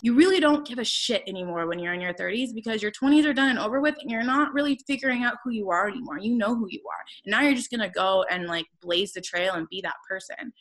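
Woman around thirty, podcast host and coach, earnest and rambling, middle pitch. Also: expressive, quick pace, few pauses, fairly bright tone. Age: 30s. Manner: earnest, rambling, many fillers and restarts. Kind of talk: casual podcast conversation.

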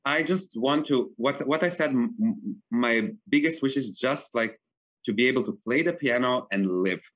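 A man's voice: medium pitch, expressive, normal speed, fairly bright tone. Man in his twenties, from Serbia, earnest casual talk, filler-heavy, dull lo-fi sound. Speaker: pianist, interview guest. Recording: dull lo-fi recording.

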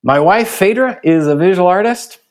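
A man's voice: sits high, animated, moderate pace. A middle-aged man from Guatemala, a theology professor, talking thoughtfully about his life in an interview. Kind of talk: interview conversation.

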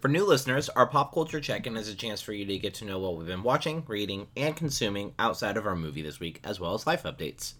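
A man's voice: on the low side, very animated, quick pace, few pauses, fairly bright tone. A man in his thirties co-hosting a podcast, chatting in an easygoing, rambling way with lots of fillers. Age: 30s. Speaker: podcast co-host. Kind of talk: casual chat.

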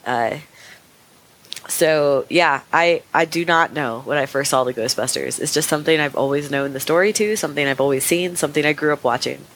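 A young woman co-hosting a podcast, sick and raspy, chatting casually and rambling with lots of fillers. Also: sits low, brisk, slight background noise, rough recording. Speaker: podcast co-host.

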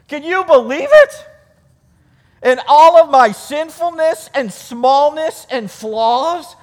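A man delivers a sermon in a high voice, taking his time over each phrase. His delivery is deliberate and emphatic, repeating key words for weight. The sound carries the long reverberation of a large hall. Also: fairly bright tone. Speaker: preacher. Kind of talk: sermon with scripture reading.

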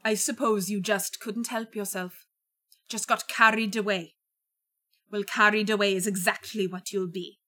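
A woman's voice: medium pitch, fairly even intonation, measured pace, sharp tone. A woman storyteller voicing a cold, vengeful husband from a legend, sneering and bitter. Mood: sneering spite.

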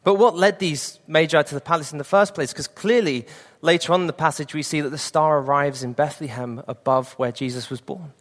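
A man's voice: a little high, brisk, few pauses, long reverb tail. A man in his late twenties preaching a sermon and sharing an earnest personal testimony. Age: 20s.

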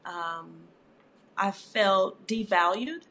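A woman's voice: medium pitch, extremely slow. Reflective woman with many fillers. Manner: warm, reflective, frequent "you know" fillers. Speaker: therapist and author, guest. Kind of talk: conversational interview.

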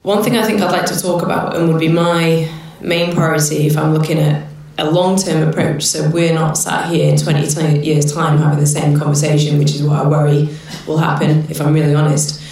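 Low-voiced woman in an echoey hall. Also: flat delivery, brisk, hardly any pauses, a little mellow. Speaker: panel speaker. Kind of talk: speech at a conference panel. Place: large conference room. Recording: echoey hall, captured from far off.

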